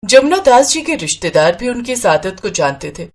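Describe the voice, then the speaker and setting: A woman's voice: mid-range voice, sing-song, moderate pace, a little hiss or hum. A woman narrating a scripted story as its storyteller.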